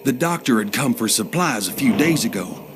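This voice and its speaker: A man's voice: mid-range voice, expressive, moderate pace, background noise, close to an everyday voice. An actor playing a plainspoken rural American farmer who survived a zombie outbreak, narrating his haunted story for a video game in a folksy way. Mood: steady, weary. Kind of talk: scripted first-person game narration. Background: music.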